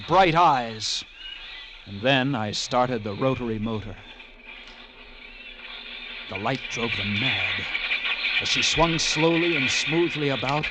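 Slow, haunted radio storyteller. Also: male voice, mid-range voice, background noise. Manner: brooding, dramatic, wry. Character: haunted lighthouse keeper narrating his horror tale. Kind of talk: old-time radio drama narration.

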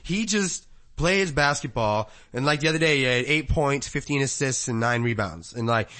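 A man's voice: medium pitch, animated, normal speed, pushed and bright. A man, a podcast co-host in loose, rambling sports chat who laughs often.

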